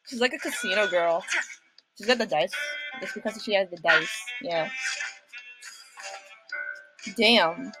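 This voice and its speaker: A woman's voice: medium pitch, expressive, takes it slowly, pauses often, music in the background, low-quality recording. A young woman hosting a casual reaction video, talking in a hesitant, rambling way.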